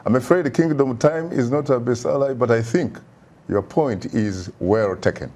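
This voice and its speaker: A man's voice: medium pitch, moderate pace, warm tone. A man hosting a live phone-in talk show, courtly and effusive in his phrasing.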